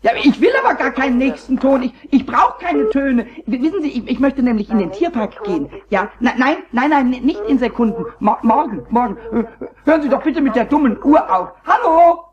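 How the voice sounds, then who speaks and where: Raspy squeaky little kobold, childish n flustered, swoopy pitch, stutters, muffled old tape radio play. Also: male voice, fairly quick, few pauses, a crowd in the background. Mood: exasperated. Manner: stammering, repeating words excitedly. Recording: dull old cassette recording.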